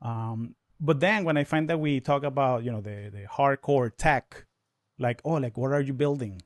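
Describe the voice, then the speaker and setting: A man in his thirties whose first language is Spanish, talking fast with many restarts as a guest in a casual podcast interview. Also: medium pitch, no echo, studio quality. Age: 30s.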